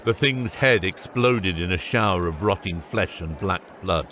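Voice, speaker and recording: A man's voice: sits low, unhurried, very noisy. A man narrating a scripted fantasy audiobook.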